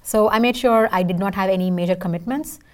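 A woman's voice: mid-range voice, fast, a little mellow. A woman in her thirties from India with an Indian accent and Tamil as her first language, answering interview questions in a chatty, emphatic way, often checking in with "right?". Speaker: interview guest.

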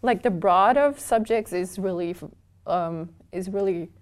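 A woman. She talks in short, halting bursts and restarts her sentences often. She laughs lightly between thoughts, at an ordinary pitch for a woman. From southern Brazil. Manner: hesitant, many restarts, laughs easily. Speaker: interview guest, documentation developer. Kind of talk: casual interview chat.

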